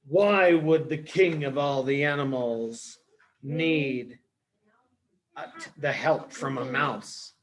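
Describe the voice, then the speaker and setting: A haughty storybook lion, king of the animals, played by a man. He talks slowly and regally. He is menacing, yet able to show mercy. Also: medium pitch, natural voice. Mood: scornful. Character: haughty male lion king, threatening but merciful.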